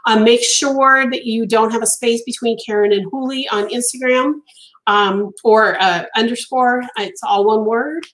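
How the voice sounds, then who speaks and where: A woman's voice: on the high side, a bit bright, rough recording. A woman in her fifties whose first language is Italian, chatting casually on a live stream from her home craft room, with lots of ums and restarts, a little stuffy from allergies. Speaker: crochet designer hosting a live stream. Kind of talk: casual live-stream chat reading viewer comments. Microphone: webcam at desk.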